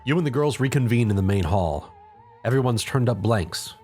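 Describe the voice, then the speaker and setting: Very low-pitched woman narrating. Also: expressive, moderate pace, warm tone, slightly rough. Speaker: fan fiction narrator. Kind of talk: scripted second-person story narration. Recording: faint music behind the voice.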